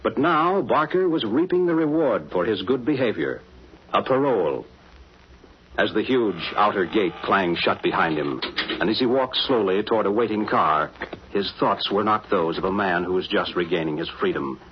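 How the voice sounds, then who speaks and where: A man's voice: lowish voice, animated, moderate pace, a little hiss or hum. A man narrating a 1940s radio drama as announcer, emphatic and theatrical in his scripted delivery. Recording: muffled old 1940s radio recording.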